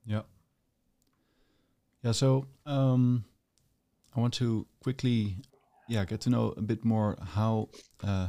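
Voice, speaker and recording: A male podcast host puts a question to his guest, halting now and then with small fillers. His voice sits low and mellow, with a gravelly fry running through it. The delivery is relaxed and conversational. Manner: hesitant, many "uh" fillers. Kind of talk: casual interview questions.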